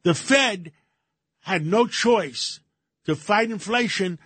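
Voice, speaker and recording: Greek-born older man, halting talk. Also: high voice, a bit bright, husky, dry recording. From Greece. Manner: halting, many fillers and restarts. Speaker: radio show co-host. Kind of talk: casual radio talk.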